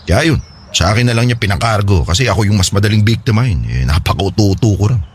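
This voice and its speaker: A man's voice: on the low side, lively intonation, fast, a little hiss or hum, close to an everyday voice. A male actor playing a kindhearted, apologetic man with a wounded air in a radio drama. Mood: hurt, confiding.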